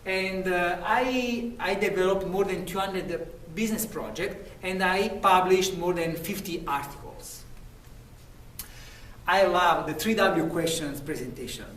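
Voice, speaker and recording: A man's voice: high voice, unhurried, a bit bright. A man from Italy with a thick Italian accent, presenting a sample lecture in an earnest, self-promoting way with frequent restarts. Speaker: lecturer applicant. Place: university room. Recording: echoey room, mic at a distance.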